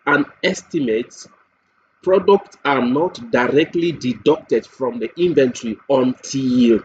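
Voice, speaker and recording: A man presents a software demo step by step, deliberately, repeating phrases and posing questions to himself as he goes. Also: medium pitch. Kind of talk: step-by-step screen tutorial narration. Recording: dull lo-fi mic with clear background noise.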